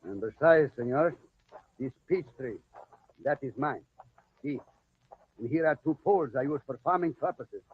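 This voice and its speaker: An actor playing a grasping, haggling rancher in an old-time radio drama. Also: male voice, mid-range voice, animated, moderate pace, pauses often, husky. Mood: grudging, grasping. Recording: muffled noisy old radio recording.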